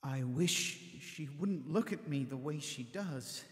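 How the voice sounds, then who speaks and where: An actor playing a weary, nervous stage actor who talks hesitantly and stammers. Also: male voice, a little high, lively intonation, takes it slowly, husky, no echo, clean studio sound, exaggerated voice. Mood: uneasy. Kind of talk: audio drama acting.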